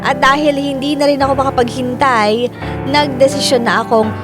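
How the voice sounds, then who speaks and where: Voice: high-pitched, fairly even intonation, normal speed, over loud music, natural voice. A narrator giving a scripted first-person account in a Filipino radio drama.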